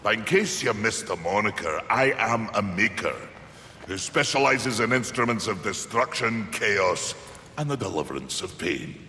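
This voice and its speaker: A man with a Scottish accent playing a gruff, earnest giant maker and weapon smith in a video game cutscene. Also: lowish voice, animated, normal speed, sharp tone. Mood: proud persuasion. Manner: emphatic, earnest, wryly courteous. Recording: reverberant game mix, faint ambient noise.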